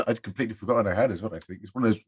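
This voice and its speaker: A man's voice: on the low side, very fast, husky, no room sound. A man chatting casually as a voice actor guest on a podcast. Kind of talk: casual chat.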